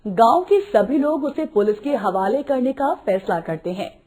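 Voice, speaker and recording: A woman with a husky voice narrating a scripted story in an expressive storytelling style. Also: fairly high pitch, a little slow.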